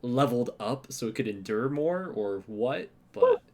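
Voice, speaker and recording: A woman chatting casually on a podcast, relaxed and agreeable, chiming in with "yeah" and "dude". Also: extremely low pitch, measured pace.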